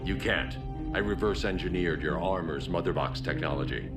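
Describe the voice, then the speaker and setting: A man playing a stern, commanding masked vigilante with a gruff, clipped delivery in a video game. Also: low-pitched, normal speed, roomy sound, close to an everyday voice. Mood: businesslike. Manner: terse, clipped, authoritative. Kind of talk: cinematic video game acting. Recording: loud cinematic music behind, slightly distant.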